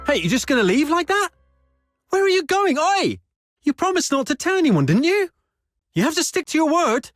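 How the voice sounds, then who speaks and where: A cornered, gossipy informant from a video game, whiny and blustering. The pitch swings widely from a middle register, and music plays along. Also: male voice, sing-song, moderate pace, a bit bright, no room sound, exaggerated voice. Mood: panicked, indignant. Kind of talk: video game cutscene voice acting.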